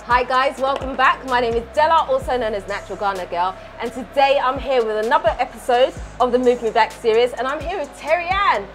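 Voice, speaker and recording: Young woman host chatting casually, fairly high voice, some music playing behind. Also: fairly bright tone. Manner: chatty, laughing often, quick reactions. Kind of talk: casual interview chat. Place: outdoors then indoors at a hot venue in Ghana.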